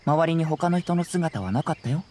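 A man voicing a calm, perceptive young gentleman investigator in a game, soft-spoken and politely probing. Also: fairly high pitch, moderate pace, slight background noise, lightly acted. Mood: calm, explanatory. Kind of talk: Japanese game cutscene voice acting.

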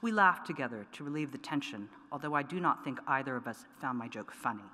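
A middle-aged woman poet reading her work aloud at a lectern microphone, measured and deliberate with pointed emphasis. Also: very deep voice, lively intonation, sharp tone. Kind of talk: poetry reading. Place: large wood-paneled auditorium, at a lectern. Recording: long reverb of a large hall.

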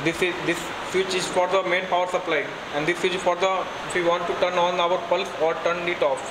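A young engineer walks through a machine demonstration in a fairly high, flat voice, stopping and restarting as he explains. The workshop recording carries a clear hum of air conditioning behind him. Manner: plain, halting explanation with fillers and restarts. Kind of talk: product demonstration. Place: workshop with machine on a green bench. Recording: noisy workshop room audio.

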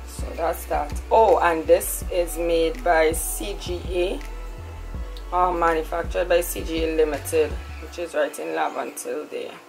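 A woman's voice: low-pitched, steady tone, unhurried, vocal fry, darkish tone, soft background music. A woman talking casually through a grocery haul, chatty, often ending lines with a questioning "right?". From Trinidad. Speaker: lifestyle vlogger.

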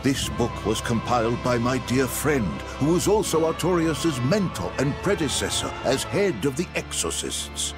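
A man playing a haughty old sorcerer in a video game, speaking in a measured, lecturing manner. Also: mid-range voice, sing-song, close to an everyday voice. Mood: lofty composure. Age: older. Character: haughty old bearded sorcerer, cold and lofty. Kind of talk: dramatic video game acting. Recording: game audio with music behind.

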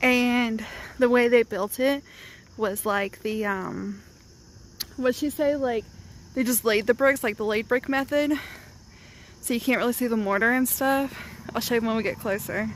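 A woman's voice: fairly high pitch, unhurried. A woman vlogging casually on a phone at a resort, chatting loosely with fillers. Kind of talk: casual travel vlog chat. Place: outdoors at a resort. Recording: noisy outdoor phone recording.

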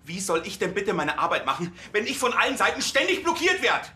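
Indignant, high-voiced junior policeman. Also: very animated, fairly quick, pushed and bright, reverberant, heavily acted. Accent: Bavarian. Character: indignant, put-upon male junior policeman. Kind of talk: TV crime comedy acting.

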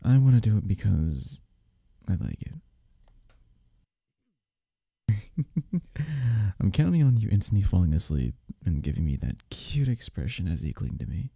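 Man acting as drowsy, cuddly husband, dark low voice. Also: big pitch swings, gravelly, husky, no echo, studio quality, heavily acted. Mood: tender, playful. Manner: soft, drowsy, teasing, unhurried. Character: sleepy, cuddly, playfully affectionate husband in bed. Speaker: ASMR roleplay performer. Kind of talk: intimate ASMR boyfriend-style roleplay. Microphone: close, dry microphone.

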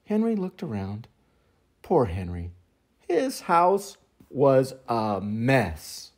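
An older man reading a children's picture book aloud as an expressive storyteller. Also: mid-range voice, drawn-out words. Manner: expressive, acts out sound effects and voices.

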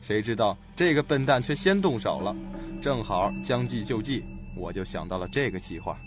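A man's voice: lowish voice, animated, normal speed, muffled recording, lightly acted. A man playing a scheming, calculating doctor with a sly manner in a suspense radio play. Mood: smug, scheming. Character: scheming, smug, suspicious male doctor. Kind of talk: audio suspense drama acting. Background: music.

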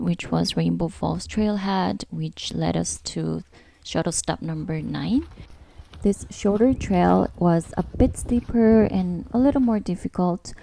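A woman's voice: lowish voice, creaky, mellow, slight background noise. A woman narrating a travel vlog in a casual, scripted voice-over. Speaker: travel vlogger narrating a trip.

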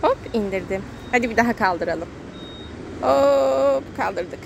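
High-pitched encouraging mom, chatting outdoors. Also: female voice, lively intonation, slow, gravelly. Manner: encouraging, sing-song repetition of words. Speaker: mother filming a family vlog. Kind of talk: casual narration to a small child. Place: outdoors at a car wash. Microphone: handheld phone camera, speaker behind it. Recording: outdoor car wash background noise.

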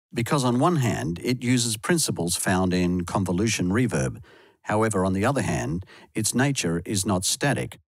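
A man reading scripted instructional narration in a measured, explanatory way, as a tutorial voice-over. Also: low-pitched, no room sound. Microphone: close voice-over mic, dry studio sound.

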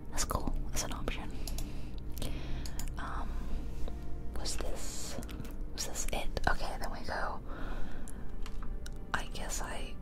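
Casting: female ASMR game host, fully whispered and breathy, rambling and informal, ear-to-ear close miking. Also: poor audio. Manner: casual, rambling, musing aloud. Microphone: close ear-to-ear stereo microphone.

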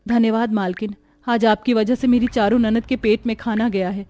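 A woman's voice: on the high side, fairly even intonation, moderate pace, warm tone, close to an everyday voice. A woman voicing a poor, caring sister-in-law in a cartoon, with a humble, pleading manner. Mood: earnest.

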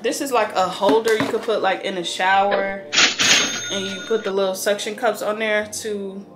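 A woman's voice: mid-range voice, fairly even intonation, normal speed, heavy background noise. An American woman in her thirties chatting casually in a rambling, conversational way, as a vlogger talking to a camera in a room at home. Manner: conversational, rambling, thinking aloud. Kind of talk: casual chatty unboxing vlog. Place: small room indoors at home.

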